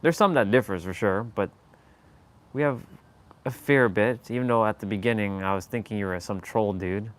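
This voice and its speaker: A man from Canada chats loosely with a viewer on his live stream. His voice sits fairly low, and he rambles and hunts for words. He laughs often. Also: moderate pace. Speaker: livestream host. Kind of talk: casual live-stream chat.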